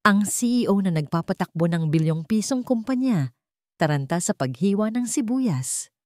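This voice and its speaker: A woman narrating a romantic drama in the first person, in an expressive, sentimental storytelling style. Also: medium pitch, normal speed, no room sound, studio quality.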